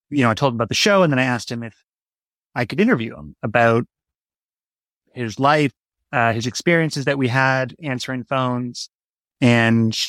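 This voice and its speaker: A man's voice: mid-range voice, fairly bright tone, no echo. A man answering interview questions as a writer, explaining his process step by step in a reflective, conversational way. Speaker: interview guest, audio drama writer.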